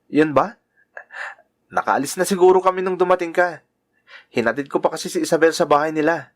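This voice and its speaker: A man's voice: fairly high pitch, fairly quick, natural voice. A man with a Filipino accent acting an earnest, self-sacrificing young lover and devoted brother in a sentimental Tagalog radio drama. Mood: puzzled, troubled. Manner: earnest, sentimental delivery, mixing in English phrases.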